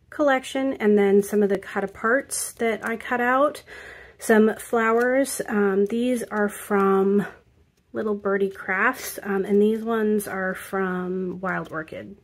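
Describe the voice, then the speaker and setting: Woman slowly talking thru her craft project, bit husky, lots of ums. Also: mid-range voice. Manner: relaxed, explains step by step with many fillers. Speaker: craft video creator. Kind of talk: casual craft walkthrough narration.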